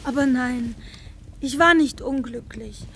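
Young weary mistress, high plaintive voice, wistful, radio play, bit of ambience. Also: female voice, slow, creaky, a bit bright, slight background noise, heavily acted. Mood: sad, wistful. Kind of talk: radio drama dialogue.

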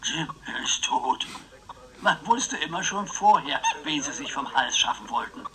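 An actor playing an eccentric, playful man in his eighties in a radio crime drama, stubborn and scheming, with theatrical, drawn-out phrasing. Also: on the high side, animated, sharp tone, rough recording. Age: 80s.

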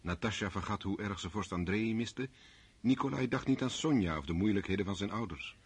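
A man's voice: lowish voice, expressive, fairly quick, slightly rough. A man narrating a radio drama in a scripted storytelling style.

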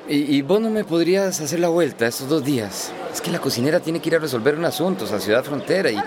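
An actor playing an exasperated small hostel keeper in a radio drama, informal and grumbling. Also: male voice, a little high, animated, fast talker, noisy background, close to an everyday voice. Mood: coaxing.

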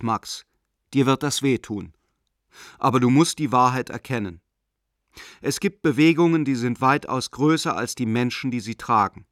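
A man's voice: medium pitch, fairly bright tone, no echo, clean studio sound, lightly acted. A man playing a coldly formal zealot banker. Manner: measured, declamatory, self-righteous. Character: zealous German banker turned Nazi believer, coldly formal. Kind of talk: radio play letter monologue.

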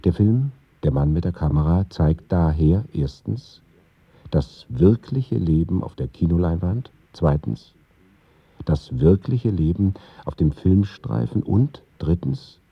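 A man narrating a scripted radio documentary in a husky voice. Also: low-pitched, lively intonation, takes it slowly, no room sound, dull audio.

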